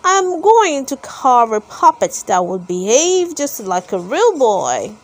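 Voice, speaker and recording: Woman reading as a slow, kindly old toy maker. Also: high-pitched, huge pitch swings, full character voice. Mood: pleased.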